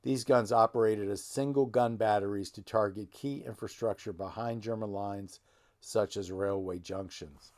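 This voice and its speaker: A middle-aged man with a gravelly voice hosts a casual genealogy talk, rambling a little and correcting himself as he goes. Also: fairly low pitch, fairly even intonation, moderate pace, a little mellow. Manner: rambling, self-correcting, folksy. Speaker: genealogy channel host. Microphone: desk microphone close to him.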